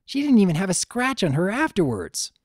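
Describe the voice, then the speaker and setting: Narrator doing cocky tomboy cartoon pony, high voice, casual n punchy. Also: huge pitch swings, a little fast, dry recording, studio quality. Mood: admiring. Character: brash, cocky young female cartoon pony.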